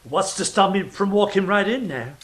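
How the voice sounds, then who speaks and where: Man as a high, bright-voiced young space traveller. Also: animated, normal speed. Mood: exasperated, defiant. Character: incredulous half-human space-travelling park visitor. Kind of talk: audio drama acting.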